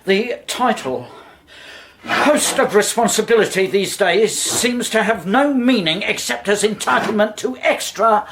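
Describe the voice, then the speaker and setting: A man's voice: high-pitched, animated, unhurried, background noise. A man playing a pompous, irritable county education official, officious and indignant, in a radio drama. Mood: strained outrage.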